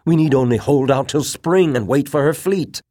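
A man's voice: medium pitch, moderate pace, mellow, no room sound, clean studio sound, pitched above the performer's own voice, natural voice. A man voicing a loyal, formal colonel in an audiobook, brittle with cold disdain and gravity. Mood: firm. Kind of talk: dramatized audiobook dialogue.

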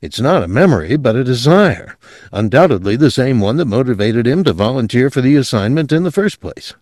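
Audiobook part, played by a man: clinical male technician, precise and analytical, lightly raised pitch, dry. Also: big pitch swings, brisk, a little mellow, no room sound, natural voice. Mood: clinical, explaining. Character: clinical, matter-of-fact male memory technician.

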